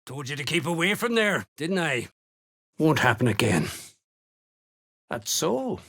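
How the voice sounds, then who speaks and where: Surly game dwarf slaver, slow n husky, gravelly, talks down to you. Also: male voice, fairly high pitch, sing-song, sharp tone, full character voice. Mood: aggressive. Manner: sneering, blunt, clipped. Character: surly male duergar slave hunter, menacing and contemptuous. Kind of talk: video game character voice lines.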